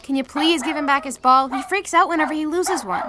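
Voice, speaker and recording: Woman doing little girl, high voice, blunt and casual, noisy background, radio play. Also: brisk, lightly acted. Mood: matter-of-fact. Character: plain-spoken young girl with a pet dog. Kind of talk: scripted audio drama acting.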